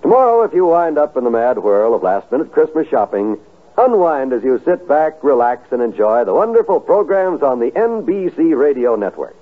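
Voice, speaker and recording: A man's voice: mid-range voice, big pitch swings, moderate pace. A man reading scripted announcements in the style of a 1950s radio announcer. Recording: tinny 1950s radio broadcast recording.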